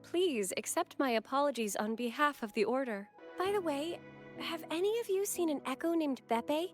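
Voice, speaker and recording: A devout young church girl from a video game. She speaks in a high, animated voice with polite, earnest hesitancy. Music runs underneath. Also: moderate pace. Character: sweet, devout, flustered young church acolyte woman.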